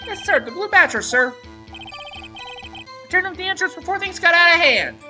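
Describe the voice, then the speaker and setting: A woman's voice: extremely high pitch, animated, brisk, sharp tone, soft background music, pitched above the performer's own voice, exaggerated voice. A woman voicing a jittery, overeager and obedient young male police officer in a visual novel, yelping dutifully to his superiors. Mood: eager, nervous. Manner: yelps, ends phrases with a dutiful "sir". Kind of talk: exaggerated visual novel character voice.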